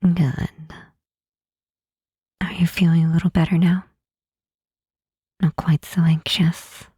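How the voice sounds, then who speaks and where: A gentle demon queen, acted by a woman, speaks in a mellow, heavily husky voice at a slow, deliberate pace. She is soft and reassuring, in the intimate manner of an ASMR roleplay. The sound is close and dry. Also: fairly low pitch, flat delivery, no echo, exaggerated voice. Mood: gentle, caring. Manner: slow, tender, soothing, with deliberate pauses. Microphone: close mic, dry.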